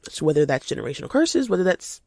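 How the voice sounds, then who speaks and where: An eighteen-year-old woman chats casually and spontaneously as a podcast host, animated and informal, slightly stuffy from a cold. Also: fairly low pitch, fast, dry recording, clean studio sound. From United States, lives in Georgia. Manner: animated, informal, addresses listeners as y'all. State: recovering from a cold.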